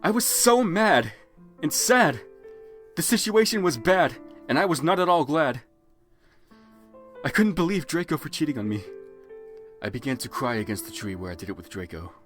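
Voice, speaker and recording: A man's voice: on the high side, big pitch swings, moderate pace, fairly bright tone, faint music behind. A man narrating fan fiction as a comic dramatic reading, in a theatrical, exaggerated manner.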